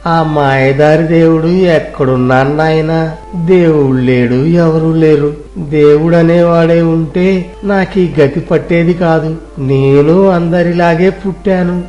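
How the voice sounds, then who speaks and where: A man's voice: a little high, fairly even intonation, unhurried, mellow, dull audio, lightly acted. A man playing a forsaken, shivering old beggar who speaks plaintively in an audio moral story. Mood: bitter, grieving. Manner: plaintive, lamenting. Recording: echoey room sound, music behind.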